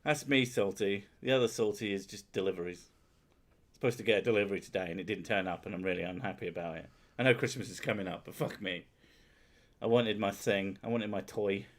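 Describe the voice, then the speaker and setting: A lowish-voiced man in his thirties chats on a stream. He is loud and sweary, and he laughs at himself. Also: a little fast. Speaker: streamer host. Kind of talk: casual chat with partner and chat. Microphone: headphones and desk mic.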